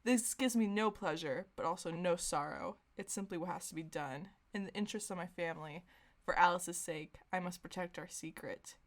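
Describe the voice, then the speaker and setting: A woman's voice: fairly low pitch, normal speed, close to an everyday voice. Lady doing a stony vampire guy from fanfic, cool n formal, serious. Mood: cold, grave. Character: cold, stony young male vampire protecting his family.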